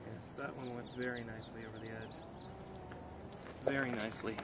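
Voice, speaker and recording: A man's voice: medium pitch, level tone, a little fast, very noisy. A man speaking casually outdoors as an amateur radio operator, narrating and making calls with hesitant fillers. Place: outdoors on a hillside.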